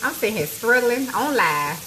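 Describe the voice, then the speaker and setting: A playful woman chats with her viewers, calling them y'all as she cooks. She speaks into a phone on a small tripod in her home kitchen. The sizzle of frying grease comes through clearly around her voice. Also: fairly high pitch, big pitch swings, moderate pace, bright, forceful tone, a little hiss or hum, poor audio. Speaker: cooking show host. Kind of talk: casual livestream cooking chat. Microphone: phone on a tripod, close to arm's length.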